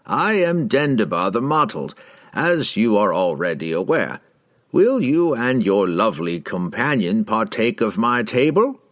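A man voicing a raspy, smug and ambitious wizard in a storybook, with a grandiose, courtly and drawn-out delivery. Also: on the low side, sing-song, fairly bright tone, no echo, studio quality. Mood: grand welcome.

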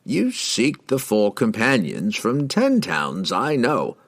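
A man voicing a raspy, smug and ambitious wizard in a storybook, with a grandiose, courtly and drawn-out delivery. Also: medium pitch, huge pitch swings, dry recording, studio quality, voice pushed up, heavily acted. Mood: smooth probing.

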